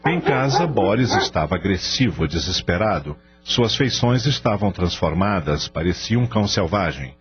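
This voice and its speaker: A man's voice: sits low, expressive, normal speed, hardly any pauses. A man narrating a radio novel as scripted dramatic storytelling. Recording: dull radio-like audio, music behind.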